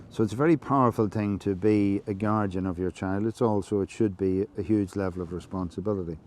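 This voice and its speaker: An Irish man giving a measured, reflective monologue, explaining things slowly, with many fillers and restarts. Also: low voice, dark tone, slight background noise. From Ireland. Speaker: podcast host.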